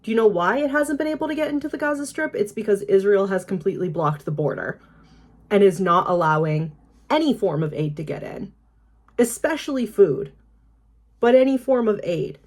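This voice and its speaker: A young woman, hoarse from being sick, talking bluntly in a casual vlog, swearing freely and laughing often. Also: medium pitch, expressive, normal speed, darkish tone. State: hoarse from illness. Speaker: YouTuber. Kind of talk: casual unboxing vlog with urgent appeal.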